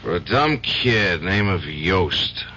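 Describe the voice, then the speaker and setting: An actor with an American accent playing a troubled, hard-drinking lawyer in an old-time radio drama, agitated and halting. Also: male voice, deep voice, big pitch swings, bright, forceful tone, exaggerated voice. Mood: drunken, morose. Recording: dull, faintly noisy old radio recording.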